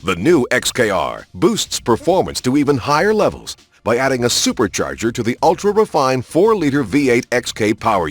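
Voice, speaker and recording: Lively, slightly husky male narrator over music. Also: medium pitch, dry recording. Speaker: narrator of a racing game's car showcase. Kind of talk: scripted promotional documentary narration.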